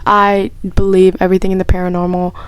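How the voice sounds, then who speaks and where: Woman vlogger, casual chat, excitable and rambling, mid pitch. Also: fast talker, slight background noise. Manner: gushing and excitable, rambling with many restarts; chatty, heavy use of "like". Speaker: vlogger answering personal questions.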